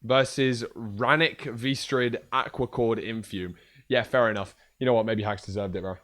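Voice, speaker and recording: A young man with a hoarse voice, chatting casually and joking on a livestream. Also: medium pitch, steady tone, moderate pace, fairly bright tone. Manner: joking, trash-talking, swears a lot. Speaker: streamer.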